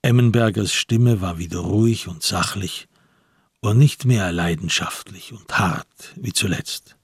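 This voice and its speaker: A man narrating a crime radio play as a grave storyteller, in scripted literary prose with a husky voice. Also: low voice, unhurried, dry recording, clean studio sound, exaggerated voice. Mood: sober.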